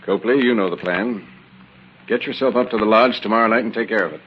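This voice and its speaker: An actor playing a tough, menacing gang boss who barks curt orders in an old-time radio crime drama. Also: male voice, fairly low pitch, fast, close to an everyday voice. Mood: cold, firm. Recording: muffled, noisy old radio recording.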